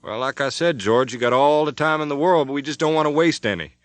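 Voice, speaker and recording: A man's voice: a little high, quick pace, no echo, pitched above the performer's own voice, close to an everyday voice. A man voicing a commanding airline captain who gives step-by-step radio instructions. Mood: coaching. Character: middle-aged airline captain, firm and commanding. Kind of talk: audiobook character voice.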